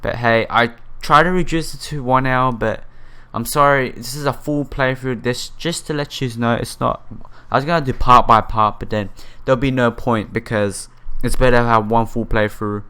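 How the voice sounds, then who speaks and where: A man's voice: medium pitch, steady tone, moderate pace, sharp tone, clear, resonant tone. A man hosting a visual novel playthrough, reading and commenting casually, sometimes stumbling over his words. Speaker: gaming video host. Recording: music behind the voice. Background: music.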